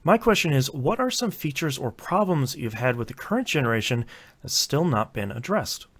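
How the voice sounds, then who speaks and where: A man with a mid-pitched voice hosts a casual podcast. He talks informally and a bit haltingly, doubling back on words as he makes his point. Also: big pitch swings. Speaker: podcast host. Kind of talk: casual chat.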